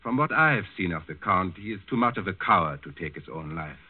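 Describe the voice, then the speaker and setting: A curt, swinging-voiced Nazi governor. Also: male voice, low voice, lively intonation, a little fast. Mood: calculating. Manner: curt, authoritative, impatient. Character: commanding, suspicious male Nazi regional governor. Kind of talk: 1940s radio acting. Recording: tinny old 1940s radio recording.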